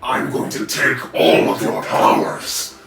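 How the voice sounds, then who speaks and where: A man's voice: slow pace, far from the mic, over music, close to an everyday voice. Man voicing a snarling, menacing supervillain monster. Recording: roomy echo.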